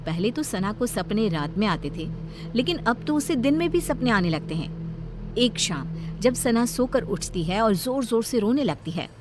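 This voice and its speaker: A woman narrating a scripted animated story. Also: on the high side, normal speed, noisy background.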